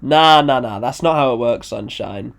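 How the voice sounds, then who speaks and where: A storyteller voicing a cocky, evasive, fast-talking man who manages clients, slangy, brazen and repeating himself. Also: mid-range voice, gravelly, close to an everyday voice. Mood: cocky, insistent.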